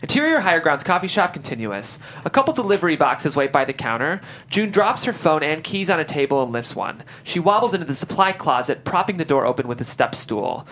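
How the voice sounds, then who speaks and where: Low-voiced woman reading scene directions, lively inflection. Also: brisk, few pauses, slight background noise. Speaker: stage-direction narrator. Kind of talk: scripted narration at a table read.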